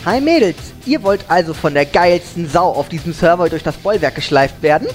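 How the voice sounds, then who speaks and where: A man's voice: a little high, animated, moderate pace, music in the background, close to an everyday voice. A man acting a cocky, bossy night elf hunter in a comedic fantasy game audio drama. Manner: arrogant, bragging, commanding.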